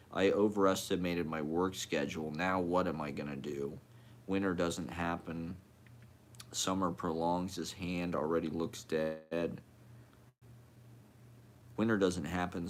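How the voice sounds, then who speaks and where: Low, flat-voiced man reading poetry. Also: slight background noise. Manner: short clipped phrases, one fragment at a time. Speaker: poet.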